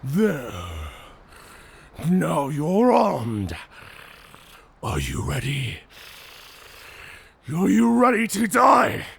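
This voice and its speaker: A man playing a proud, honor-bound and taunting werewolf warrior in a cinematic audio roleplay, speaking formally with a menacing, raspy growl. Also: a little high, huge pitch swings, a bit bright, a little hiss or hum, exaggerated voice. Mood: scornful, defiant. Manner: slow, menacing, theatrical, formal speech.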